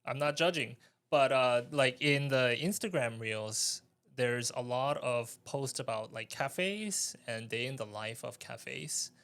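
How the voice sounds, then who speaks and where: Male interviewer, gravelly fry, medium pitch, casual and measured, a little hesitant. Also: fairly bright tone. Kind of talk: casual podcast conversation.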